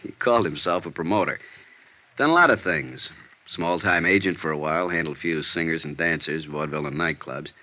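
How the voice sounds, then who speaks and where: Very deep-voiced deadpan police sergeant. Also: male voice, fairly quick. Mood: dry, sardonic. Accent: American. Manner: terse, deadpan case reporting. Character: gruff, matter-of-fact male police detective sergeant. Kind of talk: vintage radio detective drama acting. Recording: muffled vintage radio recording.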